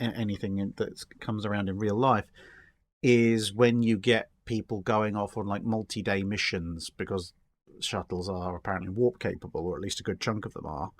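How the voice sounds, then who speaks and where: A man's voice: lowish voice, a bit bright. A man chatting casually and discursively, with a wry streak and a taste for hypothetical examples. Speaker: guest answering history and starship questions.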